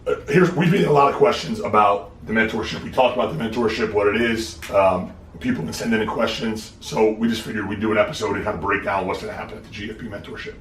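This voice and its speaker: Quick-talking man with a scratchy, fading voice. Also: fairly low pitch, a bit bright. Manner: teasing, quick back-and-forth. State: losing his voice, a little scratchy. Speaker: podcast host. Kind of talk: casual podcast banter. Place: podcast studio, being filmed. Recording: roomy, mic at a distance, faint noise.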